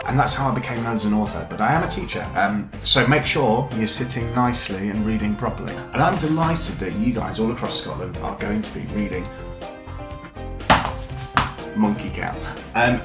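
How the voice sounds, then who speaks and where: Chatty man in an echoey room, music behind. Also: on the low side. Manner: chatty, emphatic repetition for young listeners. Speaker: children's author and teacher. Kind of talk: informal video message addressed to children. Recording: roomy echo, music behind.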